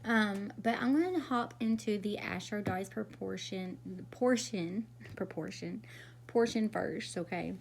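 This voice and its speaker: A woman doing a casual tarot reading, her voice raspy from a cold, chatty and often checking in with "okay?". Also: mid-range voice, unhurried, a little hiss or hum. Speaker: tarot reader.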